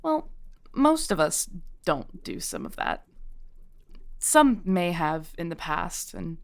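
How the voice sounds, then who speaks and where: A woman playing a kindly modern witch shopkeeper, patient, wry and reassuring, in an intimate scripted audio roleplay, speaking gently as she explains. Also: fairly low pitch, big pitch swings, slow pace, vocal fry, heavily acted. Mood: patient explaining.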